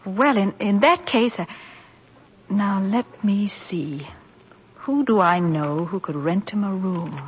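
A woman's voice: medium pitch, animated, takes it slowly, background noise. An actress playing a practical, worried working woman with a hesitant, thoughtful manner, in 1940s radio drama style. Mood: thoughtful, doubtful. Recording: muffled old radio recording.

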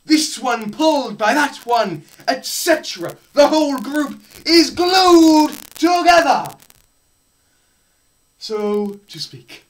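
A young man gives a theatrical lecture reading in a slow, deliberate pace. His pitch leaps around widely and his delivery is melodramatic, moving between hushed murmurs and loud cries. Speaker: presenter performing a dramatised physics lecture. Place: small plain room indoors.

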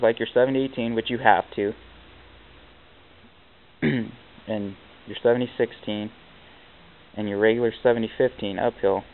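A man's voice: fairly low pitch, clear, resonant tone. A man teaching welding basics in a casual, plainspoken way while chalking on a steel plate in a workshop. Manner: plainspoken, blunt, with fillers like "like". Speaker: welding instructor. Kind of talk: casual hands-on tutorial. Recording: tinny lo-fi camera audio with hiss.

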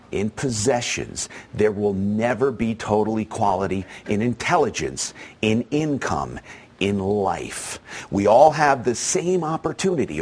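A man's voice: fairly low pitch, slightly rough. A man hosting a show, delivering an emphatic, persuasive opinion monologue straight to his listeners. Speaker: show host.

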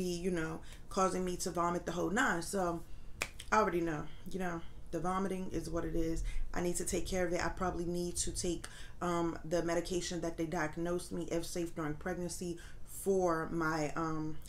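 A woman's voice: lowish voice, slight background noise. A young woman vlogging candidly from her living room sofa, her voice congested and a little nasal, rambling with many fillers. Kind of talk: casual pregnancy update.

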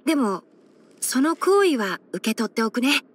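Game role: clever, gentle young male fox-eared ranger, high boyish voice, measured and softly wry. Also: very animated, breathy edge, full character voice. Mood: friendly, confiding. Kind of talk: Japanese game character voice-over.

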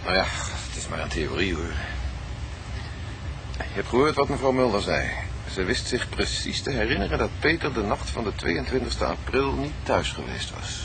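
An actor playing a shrewd, brisk police chief inspector in a radio crime drama, dry, probing and authoritative. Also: male voice, lowish voice, very animated. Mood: musing. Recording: noisy old radio drama recording.

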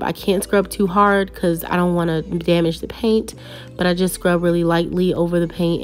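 A woman's voice: lowish voice, a little mellow. A young woman chatting casually as she narrates cleaning her kitchen, with light laughs. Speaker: vlogger.

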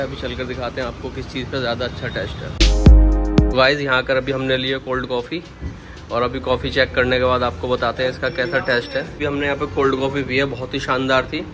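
A man in his thirties from India, chatting to viewers in a casual travel vlog, filmed on a phone at arm's length in a car, cafe and mall. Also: mid-range voice. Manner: chatty, addresses viewers directly. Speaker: vlogger.

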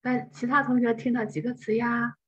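A woman's voice: on the high side, flat delivery, brisk, slightly rough, lo-fi sound. A woman teaching English in an online class, explaining patiently and step by step.

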